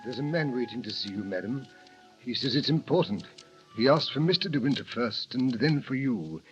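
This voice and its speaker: An actor playing a formal, respectful butler in an old radio drama. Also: male voice, medium pitch, expressive, normal speed, dull audio, soft background music, natural voice. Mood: deferential. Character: deferential butler, grown man, formal.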